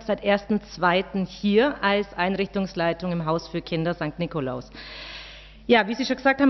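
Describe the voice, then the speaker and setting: A woman with a Swabian-Bavarian accent from the Augsburg area, the new head of a daycare centre, giving a cheerful, friendly welcome speech in church. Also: on the high side. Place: large church. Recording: dull, reverberant church recording.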